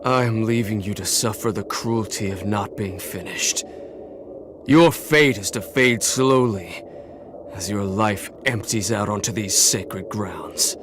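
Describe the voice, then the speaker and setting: A man's voice: lowish voice, a little hiss or hum. A man playing a sorrowful, grave warrior haunted by guilt, solemn and brooding in a video game. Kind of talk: dramatic video game narration. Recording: faint game music behind.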